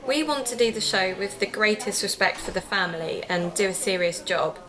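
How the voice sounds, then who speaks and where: A woman's voice: medium pitch, brisk, a crowd in the background, lightly acted, rough recording. A British woman playing a polite, persuasive amateur podcast investigator in an audio drama, courteous and explanatory. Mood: respectful.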